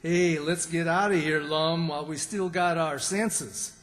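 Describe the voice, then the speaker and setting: A man playing a gullible, excitable country sidekick with a folksy, bewildered manner in an old-time radio comedy. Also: fairly high pitch, measured pace. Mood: dismayed. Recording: reverberant hall stage recording.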